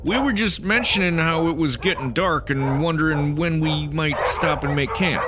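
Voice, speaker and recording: An actor playing a cunning gold rush outlaw ringleader in an audio drama, scheming and mockingly polite. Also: male voice, fairly high pitch, normal speed, very noisy, close to an everyday voice. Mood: falsely polite.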